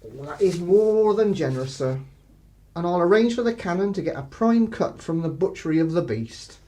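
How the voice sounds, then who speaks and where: A man's voice: high-pitched, expressive, normal speed, mellow. An actor playing a plodding, deferential but sly English village policeman in a radio play, hesitant and roundabout. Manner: hesitant, apologetic, polite, roundabout.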